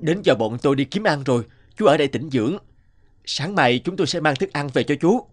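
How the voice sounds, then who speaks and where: A man voicing a kindly, protective owl leader in an animated fable, with a gentle, reassuring and measured manner. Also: a little high, faint music behind. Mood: caring.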